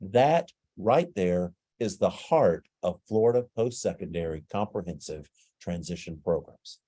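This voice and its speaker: Guy with a blocked-up nasal voice walking folks through a webinar, lowish and mellow, dry sound. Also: measured pace, husky, dry recording. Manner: explanatory, steady. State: stuffed up, nasal. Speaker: webinar presenter. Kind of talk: webinar presentation.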